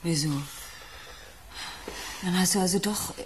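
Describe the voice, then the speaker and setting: Radio crime drama: actress as a practical, concerned wife, slightly low pitch, clearly noisy recording. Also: female voice, fairly even intonation, a little slow, natural voice. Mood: doubtful, questioning. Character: down-to-earth wife reassuring and questioning her troubled husband.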